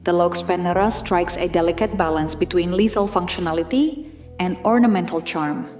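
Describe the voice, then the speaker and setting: A woman narrates in a lower register, with a measured and formal delivery. It is a scripted, documentary-style reading of fantasy lore. The sound is roomy, with faint music underneath. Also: darkish tone.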